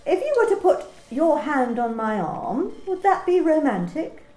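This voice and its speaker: A woman's voice: sits high, sing-song, measured pace, dark tone. A woman acting an officious, politely menacing manager in an amateur radio play, with formal, precise, probing questions. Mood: officious, pedantic. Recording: roomy, echoey home recording.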